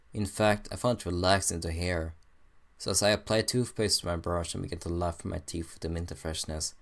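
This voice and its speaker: A man's voice: deep voice, a bit bright. A man reading a fan fiction story aloud in first person, hesitant and stumbling at times. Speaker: amateur story reader.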